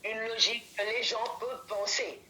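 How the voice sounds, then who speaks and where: Halting woman caller on an echoey line. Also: fairly high pitch, a crowd in the background. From Italy. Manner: halting, rambling, complimentary. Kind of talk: phone-in chat.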